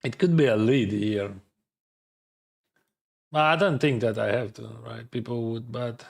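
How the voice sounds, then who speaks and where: Man, Russian native speaker, unhurried, mid-pitched, second-guessing himself, poker stream chat. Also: creaky. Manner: hesitant, second-guessing, filler-heavy non-native English. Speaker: poker player streaming his games. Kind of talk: thinking aloud while playing online poker.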